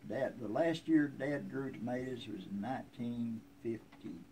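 A man's voice: mid-range voice, normal speed, warm tone. A man in his seventies with a rural American accent, casually reminiscing in a folksy, plainspoken way out on a porch. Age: 70s. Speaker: interviewee telling family history. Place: outdoors on a covered wooden porch. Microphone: handheld phone a few feet away. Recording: outdoor ambient noise.

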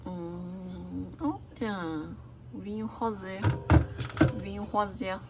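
A woman's voice: mid-range voice, fairly even intonation, drawn-out words, very noisy. A woman in her thirties chatting casually to viewers while filming herself in a hotel room. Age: 30s. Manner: chatty, exclaiming, addresses viewers. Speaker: vlogger. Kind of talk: casual vlog chat to camera. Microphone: handheld camera.

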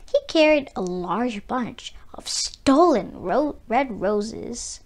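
A woman narrating a children's storybook aloud in an expressive read-aloud style. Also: fairly high pitch, slow pace.

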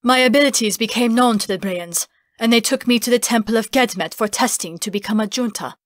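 A woman's voice: fairly high pitch, brisk, no room sound, lightly acted. A woman plays a solemn mentor from a fantasy audiobook. The delivery is firm and serious, in a dry recording. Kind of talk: fantasy audiobook character acting.

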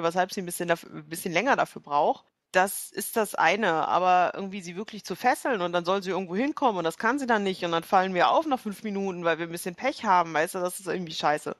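A woman's voice: on the low side, no room sound, studio quality. A woman improvising casual in-character dialogue as a tabletop role-player, thinking aloud hesitantly.

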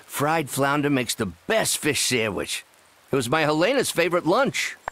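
An actor playing a gentle, lonesome older widowed fisherman in a video game, with folksy, homespun phrasing. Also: a little high, big pitch swings, normal speed. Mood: fond, homely.

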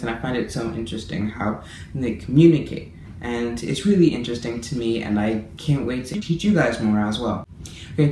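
A man's voice: mid-range voice, a little mellow. Eager young man in an echoey bedroom. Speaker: language vlogger. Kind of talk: casual to-camera vlog. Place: bedroom, indoors. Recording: echoey room, faint room hiss.

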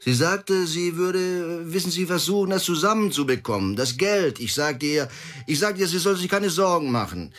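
Death-row inmate: high male register, halting, worn down, radio play with faint music. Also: expressive, a bit bright. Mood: subdued, hesitant. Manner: halting, repeats himself, subdued. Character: hesitant, beaten-down man condemned to death, protesting innocence. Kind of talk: German radio play acting.

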